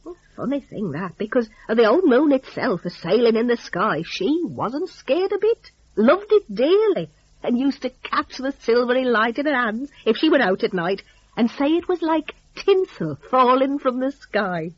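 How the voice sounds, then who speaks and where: A woman's voice: fairly high pitch, sing-song, moderate pace, slightly rough, no echo, highs cut off. A woman narrating a dramatic story in a chatty, gossipy, folksy dialect.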